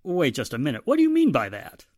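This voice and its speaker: A man's voice: a little high, wildly swooping pitch, fast, a little mellow. A man playing a stern, fatherly police inspector in a 1940s-style radio drama, admonishing and authoritative. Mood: wary.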